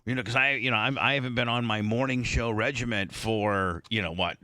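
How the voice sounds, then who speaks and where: A man hosting a morning radio show, talking casually in halting, rambling bursts with many restarts, sounding a bit congested. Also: mid-range voice, sharp tone, no echo, studio quality. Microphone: studio microphone.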